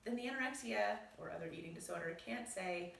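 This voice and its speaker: A woman physician reading aloud from her own book in a measured, explanatory way. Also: medium pitch, vocal fry, recorded from a distance, a little hiss or hum. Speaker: physician and author. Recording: roomy echo, voice set back from the mic.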